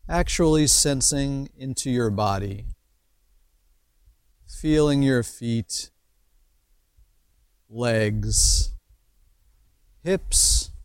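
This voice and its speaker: A man leads a guided imagery meditation in a mid-pitched voice. His delivery is extremely slow and measured, with pauses between short phrases. The sound is dry, with little room around it. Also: smooth, no room sound. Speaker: meditation teacher.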